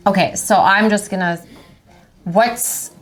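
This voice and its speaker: Woman interviewer, medium pitch, informal, blunt and insistent, foul-mouthed podcast banter. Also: fairly even intonation, slow. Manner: blunt, pressing, profane. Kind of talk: casual chat.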